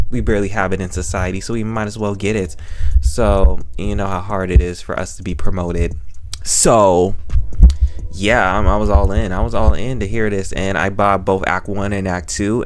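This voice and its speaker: A man hosting a casual video review, rambling playfully and repeating himself in a self-aware way, close to the microphone. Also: deep voice. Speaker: YouTuber host and reviewer. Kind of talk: casual chatty review to camera. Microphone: microphone held very close to the mouth.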